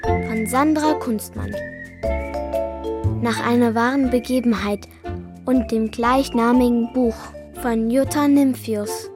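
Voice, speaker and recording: A woman's voice: fairly high pitch, slow, pauses often, music in the background. A woman announcing the title and credits of a children's radio play in a scripted style.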